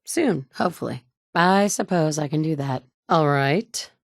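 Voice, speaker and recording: A woman's voice: low-pitched, lively intonation, a little slow, gravelly, dry recording, exaggerated voice. An actress in her thirties playing a tough, wry woman survivor in a video game, talking in a blunt, matter-of-fact way from a studio booth. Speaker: player character. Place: recording booth. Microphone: studio mic.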